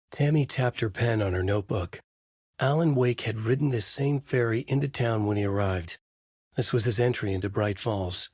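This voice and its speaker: A man playing a brooding, haunted novelist in a video game, narrating his horror story in a grave, measured, dramatic way with a husky voice. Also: mid-range voice, a little mellow, dry recording, studio quality. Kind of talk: scripted noir horror narration, inner monologue.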